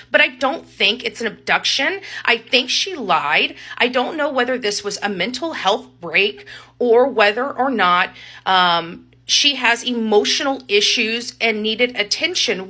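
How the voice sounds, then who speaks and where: A woman's voice: on the high side, expressive, a little slow, sharp tone. A woman giving casual online commentary, emphatic and opinionated.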